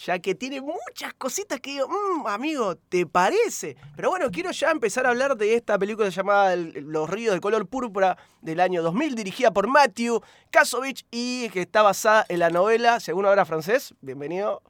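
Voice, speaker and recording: A man's voice: high voice, sing-song, a little fast, few pauses, dry recording. A man with a Rioplatense Argentine accent hosting a casual film podcast, chatty and joking, often laughing. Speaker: podcast host. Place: studio.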